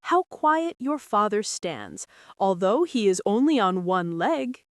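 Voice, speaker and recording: A woman's voice: medium pitch, big pitch swings, slow pace, dry recording, studio quality. A woman voicing a patient, firm mother stork in a storybook, instructing and reassuring her young. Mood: patient, instructive.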